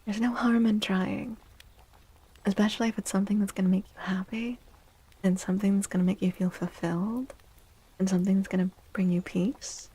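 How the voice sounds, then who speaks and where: A woman softly speaking and whispering in a gentle, hushed ASMR style, as a livestream host giving a tarot reading. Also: mid-range voice, fairly even intonation, husky, rough recording. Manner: gentle, hushed, unhurried, soothing repetition.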